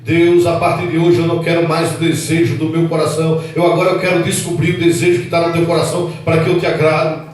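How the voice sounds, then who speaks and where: Brazilian pastor, 40s, impassioned sermon; high voice, heard from across a reverberant hall. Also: male voice, little pitch movement, quick pace. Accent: Brazilian Portuguese. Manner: preaching fervently, swinging between loud outbursts and low earnest tones. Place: church hall. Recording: echoey hall, mic at a distance.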